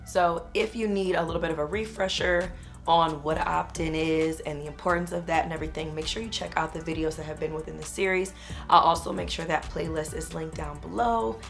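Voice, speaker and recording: Hoarse woman business coach, gravelly voice. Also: sits low, fairly even intonation, a little fast, faint music behind. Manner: chatty, upbeat, uses "y'all". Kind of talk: casual talk to camera.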